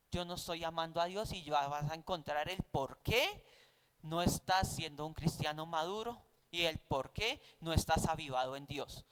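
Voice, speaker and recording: A man's voice: high voice, fairly bright tone, smooth, low-quality recording. A man with a Colombian accent teaching a Bible class in a didactic, conversational way, often posing rhetorical questions.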